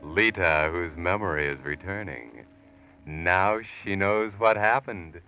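A man's voice: low voice, lively intonation, smooth, exaggerated voice. An actor playing a knowing, taunting narrator who goads the guilty man in an old-time radio thriller. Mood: ominous, taunting. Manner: knowing, goading, deliberate. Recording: dull vintage radio, music underneath.

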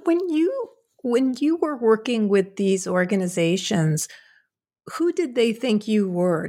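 A woman's voice: mid-range voice, darkish tone. A woman hosting an interview, asking follow-up questions and praising the guest's book with enthusiasm. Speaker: podcast host.

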